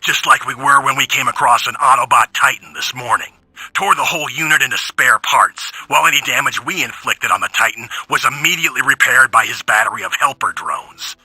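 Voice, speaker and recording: A sardonic robot soldier from a video game. The voice is sharp and raspy, gruff and full of wry complaint, recorded very dry and close. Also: male voice, medium pitch, a little fast, no echo, rough recording. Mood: wary, grim. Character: grumbling, sardonic male robot soldier giving war reports. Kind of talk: video game audio log acting.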